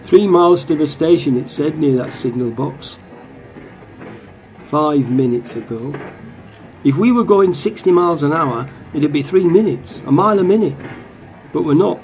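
An actor playing a wistful middle-aged football supporter, musing to himself in a radio play. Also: male voice, on the high side, lively intonation, moderate pace, soft background music. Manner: reflective, talking to himself.

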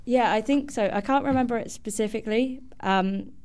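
A woman's voice: on the high side, fairly quick, darkish tone, clear, resonant tone. A female student chatting casually as a guest in an interview.

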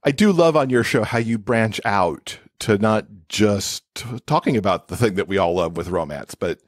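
A man with a fairly low voice talks casually as a podcast guest. His delivery is enthusiastic, and the recording is close and dry. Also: lively intonation, moderate pace, no room sound. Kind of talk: casual chat.

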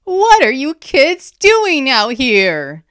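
Audiobook role: stern, gruff guardian man, woman performer, raised urgent pitch, drawn-out forceful commands. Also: huge pitch swings, no echo, studio quality, heavily acted. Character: stern, gruff man ordering children to safety.

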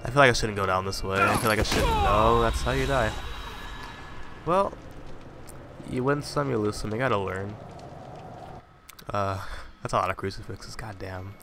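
A man with a lowish, slightly congested voice talks through a horror game in a casual, profane mutter. His voice sits over clear game sound behind him. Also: moderate pace, pauses often, background noise. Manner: profane, jumpy, muttering asides. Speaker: gamer playing a horror game. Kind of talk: casual commentary.

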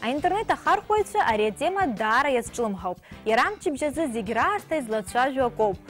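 A young female presenter with a fairly high voice reads the news in a steady, crisp manner. Her lapel mic sits in a TV studio, with faint music running beneath her. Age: young adult. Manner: crisp, measured newsreader delivery. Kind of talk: scripted news reading and studio interview. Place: TV studio with screen backdrop.

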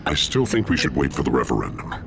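An actor playing a calm, calculating conspirator in a video game, gravelly and measured. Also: male voice, rumbling low, music in the background, exaggerated voice. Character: calm, calculating male conspirator in a shadowy cabal.

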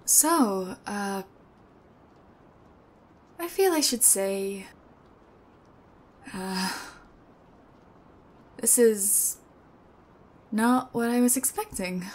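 A young woman acting an odd, sweet, sheepish thief in an ASMR roleplay, speaking softly and hesitantly with awkward pauses. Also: medium pitch. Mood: awkward, bemused.

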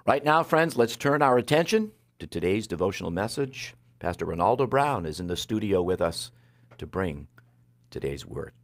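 Deep-voiced older radio host. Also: male voice, very animated, no echo, studio quality. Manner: warm, measured announcer delivery with slow-read phone numbers. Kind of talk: radio broadcast announcements. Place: small broadcast studio. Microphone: headset mic.

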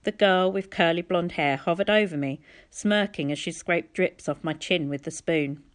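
Low-pitched woman reading a story. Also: normal speed. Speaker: audiobook reader. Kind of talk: storybook narration, reading aloud.

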